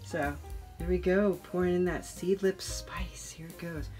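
A woman's voice: fairly low pitch, fairly even intonation, a little slow, a little mellow, soft background music. A woman in her thirties hosting in a chatty, playful way with theatrical enthusiasm. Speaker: video host. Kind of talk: chatty demonstration and travel narration.

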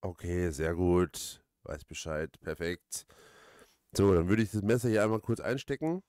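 Low-voiced man rambling casually, dry close sound. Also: unhurried, darkish tone, smooth, no room sound. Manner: rambling, hesitant, thinking aloud. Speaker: let's player, host. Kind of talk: casual let's play commentary.

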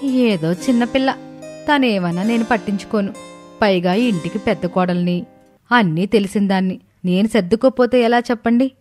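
A woman's voice: mid-range voice, moderate pace, a little mellow, soft background music, natural voice. Woman playing a two-faced, scheming daughter-in-law. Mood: falsely sweet.

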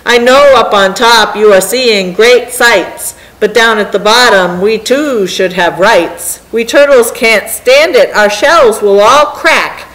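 A woman's voice: high voice, animated, slow, fairly bright tone, voice pushed up, heavily acted, rough recording. A woman voicing a meek, suffering little turtle in a storybook, groaning and pleading politely. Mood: plaintive.

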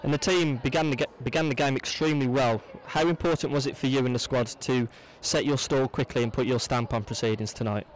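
A man's voice: medium pitch, steady tone, fairly quick, hardly any pauses, rough recording. A man interviewing for a football club, asking fluent, presenterly post-match questions.

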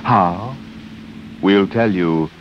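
A man hosting and narrating in a solemn, portentous 1950s radio style with dramatic pauses. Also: deep voice. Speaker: host and narrator. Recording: dull 1950s radio recording. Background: loud music.

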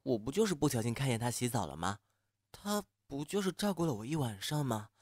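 A man's voice: medium pitch, very animated, brisk, a little mellow, dry recording, studio quality. A man acting a flustered, impulsive young man in love, sighing and agitated, in a Chinese audio drama. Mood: anxious, brooding. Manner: muttering, agitated, sighing, self-questioning. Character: flustered, impulsive young man, shyly lovestruck with his male roommate.

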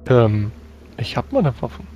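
A man's voice: mid-range voice, very animated, unhurried, warm tone, muffled recording, faint music behind. A man taking part in a tabletop role-playing game as a player, talking casually about what his character does. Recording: faint background noise, room ambience.

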